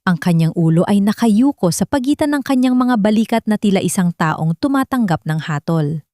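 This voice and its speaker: A woman's voice: medium pitch, expressive, fairly quick, warm tone, no room sound. Solemn woman narrating melodrama, dry sound. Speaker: audio drama narrator. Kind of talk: scripted dramatic narration.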